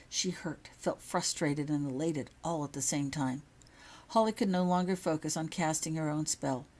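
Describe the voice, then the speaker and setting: A woman reads a storybook-style tale aloud. Her voice is low for a woman and has a husky edge. It is scripted narration, told by the author. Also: a little mellow. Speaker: author reading own fan fiction.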